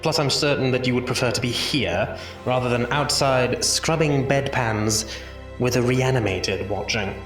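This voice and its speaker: An actor playing a genial, teasing Victorian doctor with a courteous, slightly formal manner, recorded at a distance in a roomy space. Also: male voice, medium pitch, moderate pace, a bit bright, soft background music, close to an everyday voice. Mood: playful. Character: male Victorian hospital doctor, genial, teasing, enthusiastic anatomist. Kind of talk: audio drama acting.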